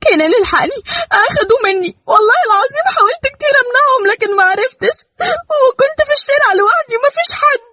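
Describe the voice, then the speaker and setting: A woman's voice: sing-song, racing, no echo. A woman doing a sobbing young Egyptian mother. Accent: Egyptian Arabic. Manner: high, crying, breaking voice. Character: young mother, anxious, tearful, pleading wife. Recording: dull, muffled, dry recording.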